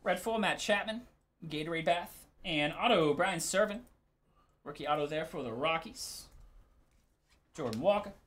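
A man's voice: on the high side, animated, moderate pace, long pauses, fairly bright tone. A man hosting a live sports card break, giving casual, laid-back commentary in short clipped call-outs.

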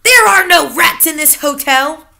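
A woman's voice: high voice, expressive, normal speed, sharp tone, pitched above the performer's own voice. A woman voicing a pompous, bristly male hotel manager who speaks with stiff, indignant formality.

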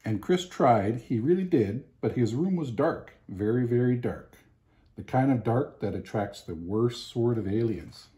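A man, reading a children's picture book aloud expressively, with deliberate, emphatic pauses. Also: mid-range voice, expressive, mellow. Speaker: storybook reader. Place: home living room, indoors.

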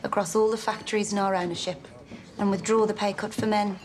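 An actress playing a poised young gangster-family woman, cool, measured and dryly assertive. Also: mid-range voice, steady tone, close to an everyday voice. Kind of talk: period television drama dialogue.

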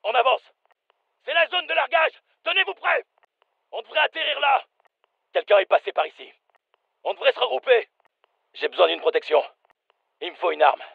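An actor playing a brisk special forces soldier, giving clipped, commanding combat callouts for a dubbed military game. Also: male voice, extremely high pitch, lively intonation, vocal fry, breathy edge, dry recording, narrow, tinny audio, full character voice. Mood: brisk, focused.

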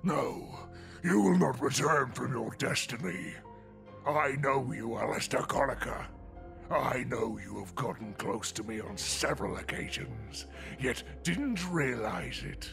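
A man playing a monstrous vampire killer in an audio horror drama, gloating and commanding, with a gravelly, theatrical, menacing delivery. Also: medium pitch, expressive, unhurried, music in the background.

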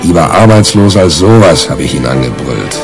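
An actor playing a brash, hungover newspaper reporter in a radio crime drama, colloquial and sardonic. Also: male voice, rumbling low, very animated, moderate pace, music in the background, full character voice. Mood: sardonic narration. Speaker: main character and narrator.

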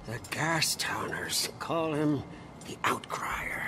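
An actor playing an eccentric, excitable wasteland sidekick in a video game, with a halting delivery. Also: male voice, a little high, heavily acted. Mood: eager. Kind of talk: video game character acting. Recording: noisy game ambience behind the voice.

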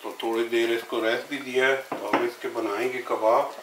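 A man's voice: mid-range voice, level tone, moderate pace, background noise. A middle-aged man, explaining a recipe step by step in a casual, friendly way in his home kitchen. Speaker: home cook. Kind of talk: casual cooking vlog. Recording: echoey kitchen.

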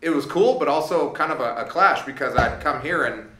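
A man's voice: on the high side, brisk, roomy sound. A man in his thirties from Southern California, chatting casually on a podcast with many fillers. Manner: relaxed storytelling with frequent fillers. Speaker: podcast co-host. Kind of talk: casual chat. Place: small studio room. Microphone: studio mic on boom arm.

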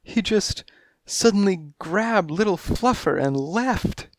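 A man's voice: high voice, lively intonation, slow pace, voice pushed up, exaggerated voice. A man voicing a flirtatious, dainty female cat who gushes and speaks breathily, in a storybook reading. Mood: gushing. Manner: breathy, gushing, coy.

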